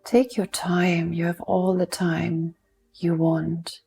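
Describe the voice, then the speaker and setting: A woman leading a guided mindfulness meditation in a soft, soothing manner, unhurried and leaving long pauses between instructions. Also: fairly low pitch, level tone, gravelly, soft background music.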